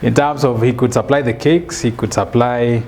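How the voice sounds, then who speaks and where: Kenyan man telling a measured story. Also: medium pitch, steady tone, a little mellow, faint music behind. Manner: measured, with many fillers and restarts. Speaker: guest, author and business speaker. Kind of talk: storytelling interview answer. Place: studio set, seated in an armchair. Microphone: lapel mic.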